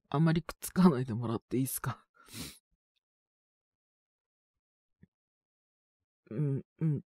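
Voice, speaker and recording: A man playing a young student with a cold in a voice drama, husky and prone to coughing, speaking in a casual, hesitant, youthful way. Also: on the high side, no echo, studio quality. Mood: exasperated, embarrassed. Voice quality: husky, with frequent coughing fits. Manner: casual youthful speech, trailing hesitant phrases. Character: young male student with a cold, polite, mildly exasperated. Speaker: voice actor. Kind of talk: one-sided situation voice drama.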